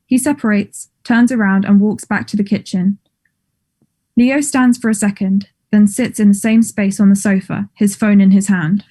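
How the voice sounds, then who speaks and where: Mellow woman reading stage directions. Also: medium pitch, fairly even intonation, normal speed. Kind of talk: scripted narration.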